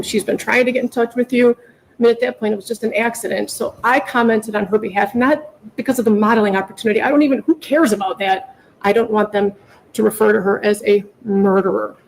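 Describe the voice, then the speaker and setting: A woman's voice: a little high, steady tone, brisk, creaky, slight background noise, poor audio. A middle-aged woman making an emotional, unscripted plea to a judge, emphatic and often repeating herself. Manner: pleading, repetitive, emphatic. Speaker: mother pleading in court for her daughter.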